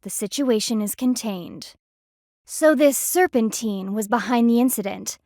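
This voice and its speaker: Game role: stern panda army lieutenant, woman, highish voice, formal and imperious, dryly disdainful, very dry close sound. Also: a little slow, a little mellow, dry recording. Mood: grave. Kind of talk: video game voice acting.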